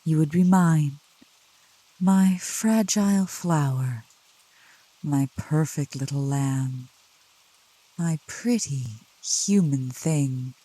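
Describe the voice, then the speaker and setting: A woman voicing a gentle, teasing, possessive female demon of the woods in a soft, low ASMR roleplay, with tender, formal wording. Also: very slow, long pauses, no room sound, full character voice, low-quality recording. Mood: soothing, possessive. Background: rain.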